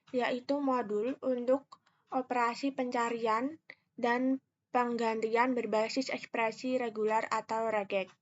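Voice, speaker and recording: A young woman speaks in a fairly high voice through a slightly muffled, lo-fi microphone. She presents like a student walking through a tutorial, explaining each step carefully and very slowly, with some hesitation. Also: fairly bright tone. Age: young adult. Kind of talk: step-by-step screen tutorial narration.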